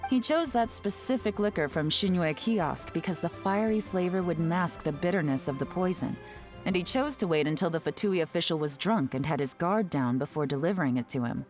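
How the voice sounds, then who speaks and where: A cool female spy, voiced by a woman, with a lowish, even voice. She is composed and wry, laying out her schemes with quiet confidence. Faint music hums beneath her in this game scene. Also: close to an everyday voice. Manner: composed, wry, self-assured. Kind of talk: game cutscene dialogue.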